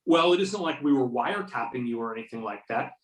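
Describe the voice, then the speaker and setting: A man's voice: mid-range voice, lively intonation, fast talker, natural voice. A man playing a sardonic, confident FBI agent in an amateur readers-theater performance, with pointed questioning. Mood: needling, stern. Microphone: videoconference or conference call. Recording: roomy echo, distant microphone.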